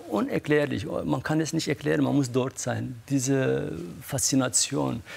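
Gravelly-voiced man telling an excited story. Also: medium pitch. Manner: excited, repetitive, halting with many fillers. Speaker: guest athlete. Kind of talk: emotional recounting in a studio interview.

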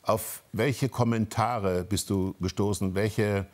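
A man's voice: lowish voice, steady tone, slow. A man hosting a conversational interview, engaged and opinionated, rephrasing his questions as he goes. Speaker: interviewer, host.

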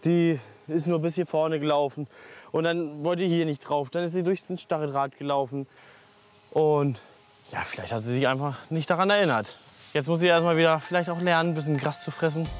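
A man, a dairy farmer, talking in a relaxed way outdoors. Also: on the high side, a little fast. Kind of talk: casual interview.

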